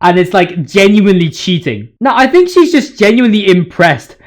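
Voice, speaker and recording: Casting: male gaming host, noticeably high voice, slangy and fanboyish, big on exaggeration and emphasis. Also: very animated, normal speed. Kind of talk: casual, slangy fan commentary on game bosses.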